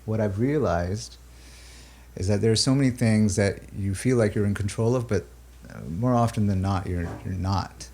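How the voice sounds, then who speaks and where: Low gravelly-voiced male musician, reflective. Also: darkish tone. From Pakistan and the UAE. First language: Urdu. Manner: thoughtful, halting, many fillers and restarts. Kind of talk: reflective interview talk.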